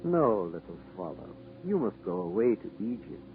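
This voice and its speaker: An actor playing a compassionate, sorrowful statue prince in a 1940s radio drama, solemn and pleading. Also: male voice, on the low side, big pitch swings, moderate pace, narrow, tinny audio. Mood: tender. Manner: solemn, pleading, repeats himself. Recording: 1940s radio broadcast over music.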